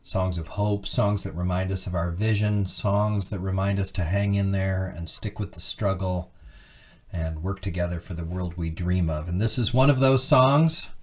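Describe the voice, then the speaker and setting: A man's voice: deep voice, normal speed. A man speaking as a minister to a congregation, earnest and encouraging as he leads worship. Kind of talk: worship service address introducing a hymn.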